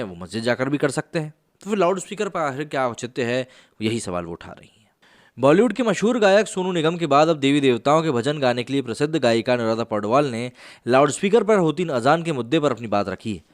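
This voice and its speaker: A man explaining the news as a channel host, mixing in some English words. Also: mid-range voice. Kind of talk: news explainer commentary to camera.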